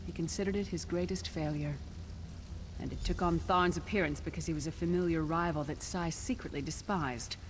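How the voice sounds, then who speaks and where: An earnest, loyal female warrior from a fantasy game, voiced by an actress. She speaks somberly and with measure in a low voice, with clear noise behind her. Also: steady tone, brisk, lightly acted, low-quality recording. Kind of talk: video game voice acting, story dialogue.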